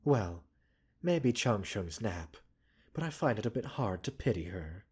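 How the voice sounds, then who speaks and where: A man playing a gentle, composed pharmacist doctor in an ASMR roleplay, speaking softly and soothingly in polite, formal phrases with mild chiding and teasing. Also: lowish voice, big pitch swings, a little slow, slightly rough, exaggerated voice. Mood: reassuring, wry. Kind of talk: ASMR roleplay audio drama.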